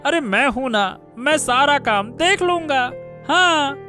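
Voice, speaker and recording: A man's voice: extremely high pitch, expressive, slow, pushed and bright, faint music behind, exaggerated voice. A man voicing a fawning, scheming royal minister in a cartoon story, stammering and wheedling as he talks. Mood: flustered, fawning. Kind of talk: Hindi cartoon story voice acting.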